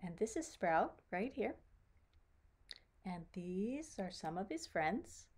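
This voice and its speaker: A middle-aged woman reading a children's picture book aloud in a deliberate, expressive storytelling manner. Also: mid-range voice, breathy edge. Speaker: author reading her own children's book. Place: small room at home with bookshelves.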